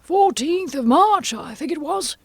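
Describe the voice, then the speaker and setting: A woman's voice: sits high, lively intonation, unhurried, no room sound, pitched above the performer's own voice. A woman voicing a nervous, poor male hatter on trial in a storybook, with a trembling, hesitant, pleading voice. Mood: anxious, apologetic.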